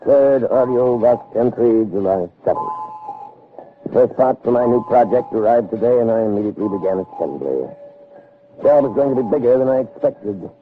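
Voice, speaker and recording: An actor playing an intense, obsessive scientist with theatrical, brooding delivery in an early 1960s radio drama. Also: male voice, medium pitch, moderate pace, narrow, tinny audio, soft background music, close to an everyday voice. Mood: measured dictation. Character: intense, obsessive male scientist impatient with human weakness. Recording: thin, noisy old radio recording.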